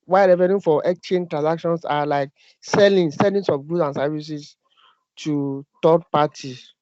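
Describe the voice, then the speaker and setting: A man's voice: a little high, fairly even intonation, normal speed, a little mellow, dry recording, highs cut off. A man answering a question in an online class, tentative and repeating words. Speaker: student. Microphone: video call.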